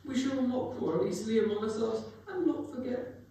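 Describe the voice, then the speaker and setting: A young man playing a humble, loving, grieving father with a halting, tender delivery in a dramatic reading. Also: very high voice, dark tone. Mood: emotional, earnest. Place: live performance in a reverberant museum room. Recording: very echoey hall, distant mic, faint noise.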